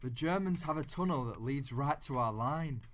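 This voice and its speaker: An actor playing a steadfast First World War soldier in a tunnel, in an audio drama. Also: male voice, medium pitch, animated, normal speed, a bit bright, lightly acted. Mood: serious. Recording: faint noise and clicks.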